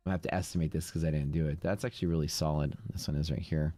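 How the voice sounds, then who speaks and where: A Texan man talks through his trades in a deep, low voice, drawling and rambling casually as he goes. The sound is dry and close, with faint music playing behind him. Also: fairly even intonation, quick pace, warm tone, no room sound. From Texas. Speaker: day trading streamer. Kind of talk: live trading stream commentary.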